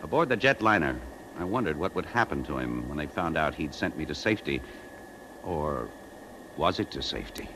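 A man's voice: extremely low pitch, animated, brisk, background noise. An American actor playing an earnest advertising man turned rebel in a 1950s radio drama. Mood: grave, reflective.

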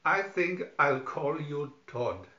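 Man voicing a high-pitched storybook widow. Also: expressive, takes it slowly, sharp tone, full character voice. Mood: crooning. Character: homely widow, excitable but tender-hearted. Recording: dull lo-fi recording, roomy echo.

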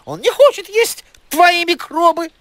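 A bright, high cartoon owl lady of mature years acted by a man. She is fussy and motherly. Her lecturing swings up and down in pitch. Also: very animated, measured pace, exaggerated voice. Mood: scolding. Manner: scolding, instructive, caring. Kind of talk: animated cartoon dialogue.

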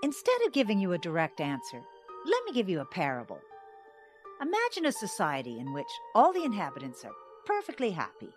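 A woman playing a wise teacher who tells a dark parable in a deliberate, didactic audio drama style. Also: lowish voice, wildly swooping pitch, faint music behind. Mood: solemn, didactic.

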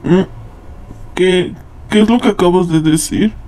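A woman voicing a mischievous, smitten young man in a Latin American Spanish comic fandub, with halting delivery. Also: fairly low pitch, heavy background noise, pitched below the performer's own voice, natural voice.

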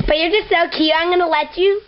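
A woman's voice: very high voice, slight background noise. A young woman voicing a vain, silly panda judge in a home plush toy show, fussing over her wig and gushing over the witnesses. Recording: dull lo-fi home camera audio.

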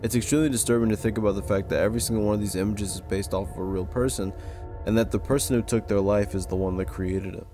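A man reading scripted narration for a video essay in a measured, serious way. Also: low-pitched. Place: close-recorded voice-over with music behind.

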